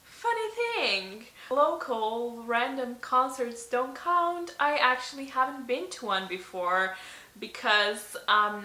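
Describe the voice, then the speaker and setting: Bright-voiced chatty young woman vlogger. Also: a little high, reverberant. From Slovenia. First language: Slovene. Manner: chatty, many ums and likes, laughs often. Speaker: beauty vlogger. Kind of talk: casual tag video chat. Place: bedroom, indoors.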